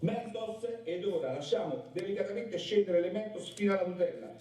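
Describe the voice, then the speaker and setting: A man doing an excited video demonstration, shouting like a showman. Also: sits high, quick pace. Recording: echoey distant clip with loud noise.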